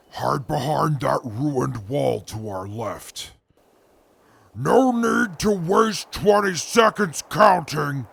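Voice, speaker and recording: Man as a very gravelly, slow-talking wasteland commander. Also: fairly high pitch, fairly bright tone, exaggerated voice. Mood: stern. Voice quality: gravelly, husky. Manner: slow, measured, formal. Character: grim, calculating male wasteland veteran, commanding and contemptuous. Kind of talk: post-apocalyptic audio drama.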